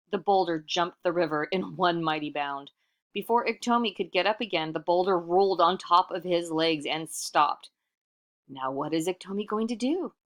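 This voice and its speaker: A woman reading a storybook aloud in expressive narration, animated and putting questions to the listener. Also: fairly low pitch. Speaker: storybook reader.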